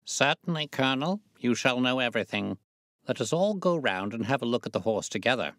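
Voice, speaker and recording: A composed gentleman detective from a storybook, acted by a male narrator. He sounds unruffled and quietly assured. His intonation moves freely, and the sound is close and dry. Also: medium pitch, expressive, no room sound, voice pushed up. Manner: unruffled, measured. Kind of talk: audiobook character dialogue.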